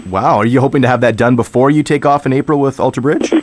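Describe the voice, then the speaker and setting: A man's voice: mid-range voice, quick pace. A man hosting a radio interview, asking questions in a conversational way. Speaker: radio host.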